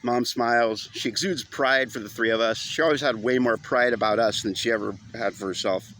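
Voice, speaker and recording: A man's voice: medium pitch, fairly even intonation, fairly quick, a bit bright. A man narrating a first-person audiobook story in an informal, wry, conversational way.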